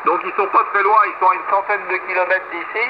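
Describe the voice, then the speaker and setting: Muffled shortwave radio with clear static carries a man's high voice. He talks casually as an amateur radio operator, handing over and chatting with the others on the frequency. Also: fairly even intonation, fast talker. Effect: shortwave single-sideband radio.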